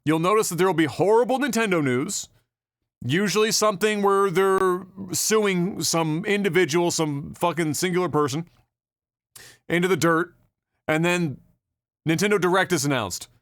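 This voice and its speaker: A man's voice: high-pitched, normal speed, a bit bright, no echo, studio quality. A man co-hosting a casual gaming news podcast, ranting wryly and swearing freely. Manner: profane, wry ranting. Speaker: podcast co-host.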